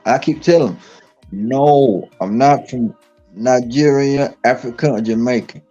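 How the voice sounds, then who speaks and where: A man from Dallas speaks with a husky, mellow voice. He tells his stories emphatically, circling back and repeating phrases with lots of restarts. The stream audio is dull and lo-fi. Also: medium pitch, unhurried, soft background music. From Dallas, Texas. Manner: repetitive, emphatic storytelling with many restarts. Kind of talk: casual live-stream chat.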